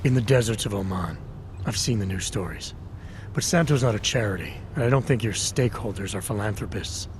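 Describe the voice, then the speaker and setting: An actor playing a guarded, skeptical video game hero who speaks in a terse, dry, questioning way. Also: male voice, on the low side, expressive, a little fast, slight background noise, close to an everyday voice. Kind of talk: video game dialogue acting.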